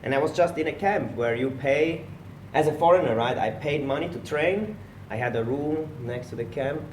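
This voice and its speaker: A man from Switzerland, a personal trainer telling his story as a guest in a relaxed interview recorded at a gym. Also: fairly high pitch, moderate pace, roomy sound, recorded from a distance, a little hiss or hum, low-quality recording. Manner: relaxed storytelling, slightly non-native phrasing. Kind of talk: casual podcast interview.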